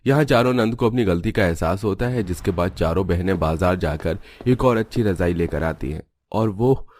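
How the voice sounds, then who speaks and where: Low-pitched man narrating a story. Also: normal speed, darkish tone. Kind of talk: scripted cartoon story narration.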